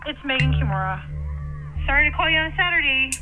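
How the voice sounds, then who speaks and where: A woman's voice: high-pitched, animated, fast, over music. An actress playing a polite, businesslike female corporate colleague on a telephone voicemail in an audio drama. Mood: polite, pressing. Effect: telephone voicemail filter. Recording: tinny narrow phone line.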